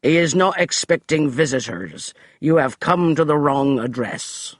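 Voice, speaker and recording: A man voicing a tall, stern butler who speaks in rasping, forbidding tones. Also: fairly high pitch, measured pace, dry recording, clean studio sound, natural voice. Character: tall stern male butler guarding an earl's door.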